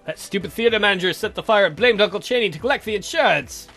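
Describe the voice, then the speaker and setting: A man's voice: sits high, quick pace, bright, forceful tone, soft background music, exaggerated voice. A man voicing a grumpy, defensive old vampire count from a visual novel, indignant, dry and given to swearing.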